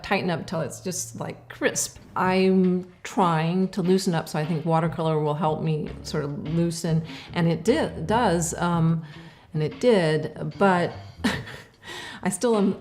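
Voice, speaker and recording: A middle-aged woman, an artist, talking in a casual interview, reflective and halting with many fillers and restarts. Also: deep voice, darkish tone.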